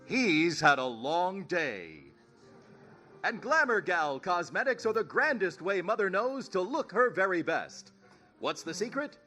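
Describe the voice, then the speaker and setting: An actor playing an upbeat 1930s radio announcer selling cosmetics in an old-time commercial style. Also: male voice, high voice, sing-song, unhurried, bright, forceful tone, soft background music, exaggerated voice. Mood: cheery, selling. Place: theater stage.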